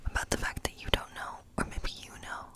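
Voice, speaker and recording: A woman whispering an ASMR tarot reading as a gentle witch, musing aloud in an unhurried way. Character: gentle fortune-telling witch.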